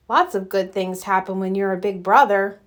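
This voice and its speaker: A woman's voice: mid-range voice, fairly even intonation, moderate pace, close to an everyday voice. A woman voicing a curious, good-natured young boy in a children's animal storybook. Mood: wistful.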